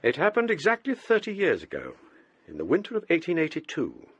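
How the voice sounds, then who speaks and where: A man playing a genial, older English provost who tells ghost stories in a courteous, measured, donnish way in a radio drama. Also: on the high side, huge pitch swings, a bit bright, slightly rough, dry recording, dull audio, heavily acted. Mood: intriguing, storytelling. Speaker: actor. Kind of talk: radio drama acting and storytelling.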